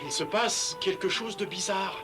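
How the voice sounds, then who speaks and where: Level-headed, helpful young cartoon companion played by an actor, mid-range, matter-of-fact, over loud music. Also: medium pitch, normal speed, natural voice. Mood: alert. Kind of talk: French cartoon voice acting.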